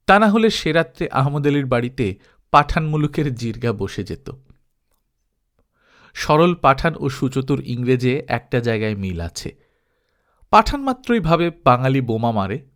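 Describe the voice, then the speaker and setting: A man narrates literary prose in the style of an audiobook storyteller. His voice sits at a medium pitch and moves through a very animated range. The delivery is expressive and dramatized, shaped for storytelling. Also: a little slow, pauses often. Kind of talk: expressive literary storytelling, reading a humorous travelogue.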